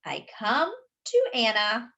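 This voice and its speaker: A woman reading a children's picture book aloud, expressively and with animated delivery for young listeners. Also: a little high, gravelly, dull audio. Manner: animated, pausing to explain words.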